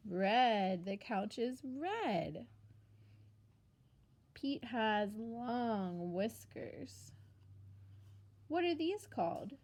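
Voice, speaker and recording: Very high, slow, sing-song woman teacher. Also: sing-song, a little mellow. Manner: simple short phrases with deliberate pauses for answers; sing-song, exaggerated delivery for young children. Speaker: preschool teacher. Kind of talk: children's picture book read-aloud with questions.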